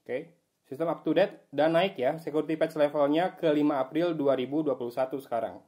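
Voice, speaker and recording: A man's voice: a little high, fairly quick, warm tone. A man with an Indonesian accent giving a casual, conversational gadget review as the host of a tech video. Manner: conversational, informal.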